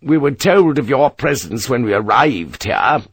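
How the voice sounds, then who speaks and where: A man voicing a tall, thin, timid gentleman who speaks wearily and sadly. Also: mid-range voice, expressive, a little slow, slightly rough, dry recording, studio quality. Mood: weary. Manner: weary, sad, tentative.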